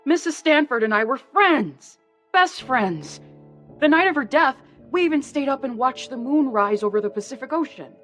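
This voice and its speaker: A woman acting out an older, devoted secretary who protests her innocence in a radio play, in a high voice that leaps up and down with feeling. She sounds earnest and a little gossipy, and faint music plays beneath her. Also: animated, moderate pace, a bit bright. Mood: defensive.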